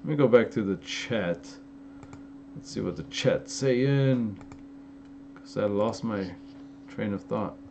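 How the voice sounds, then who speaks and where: A man's voice: fairly low pitch, slow pace, vocal fry, long pauses, mellow. A young man from Los Angeles with an Armenian-influenced American accent, answering live chat questions in a loose, rambling way with lots of fillers and restarts. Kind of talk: casual live-stream Q&A. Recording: slightly dull, lo-fi stream audio with faint noise.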